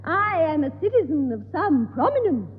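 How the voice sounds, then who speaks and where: A self-important English lady in 1960s radio comedy. She speaks high and formally, with grand haughtiness. There is faint hiss and long hall reverb. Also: very animated, moderate pace. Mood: haughty. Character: pompous, prim, self-important club president lady. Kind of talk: 1960s radio sitcom acting. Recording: tinny hissy 1960s radio, echoey hall.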